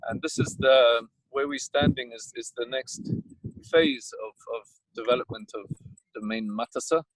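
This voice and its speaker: A man with a South African accent, a winemaker talking casually and haltingly with many fillers while standing outdoors in a vineyard, tired and coughing now and then. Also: medium pitch, steady tone, no echo, rough recording.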